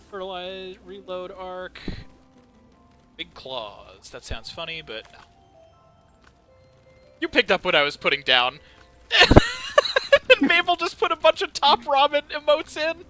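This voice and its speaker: A man's voice: sits high, takes it slowly, long pauses, soft background music. A man with a Connecticut accent streaming a game with friends, joking and laughing often. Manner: excitable, laughs often, jokey. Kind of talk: casual gaming stream chat.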